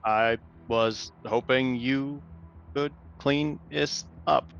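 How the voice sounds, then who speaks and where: Guilt-torn synthetic acted by a man, very slow and hesitant, tabletop game, muffled recording. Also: medium pitch, steady tone, gravelly, soft background music. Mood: conflicted, subdued. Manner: halting, subdued. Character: conflicted synthetic android, quietly defending his decision. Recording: dull, lo-fi voice channel.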